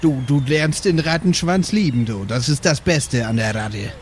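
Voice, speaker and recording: A man's voice: mid-range voice, moderate pace, slight background noise. A man improvising as a hearty, jokey old sailor in a tabletop game. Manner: hearty, jokey, boasts about food. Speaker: player voicing an old sailor. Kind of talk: improvised in-character roleplay.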